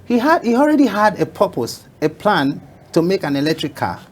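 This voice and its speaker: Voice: medium pitch, very animated, brisk, slight background noise. A talk show guest telling an emphatic story, often repeating phrases for effect. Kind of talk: motivational talk show conversation.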